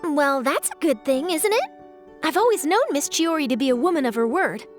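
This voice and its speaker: A woman playing a polite, sympathetic young reporter in a video game, attentive and expressive. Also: high voice, fairly quick, soft background music. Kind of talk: video game character acting.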